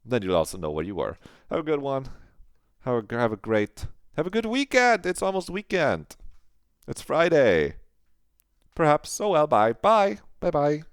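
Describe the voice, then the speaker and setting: A man's voice: a little high, wildly swooping pitch, moderate pace, clean tone, no room sound, studio quality. A man streaming a visual novel, chatting casually and swearing now and then. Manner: laid-back, swears casually.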